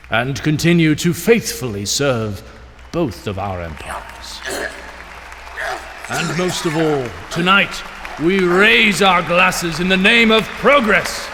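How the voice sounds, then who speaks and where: A slow, ceremonious king's voice, pitched a little high. It rings out with long reverb in a big hall over a faint murmuring crowd. He sounds like a ruler addressing his court in an audio drama. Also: noisy background. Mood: rousing. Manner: ceremonious, rousing oratory. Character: King Starbringer, grand middle-aged male monarch. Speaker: king giving a banquet speech.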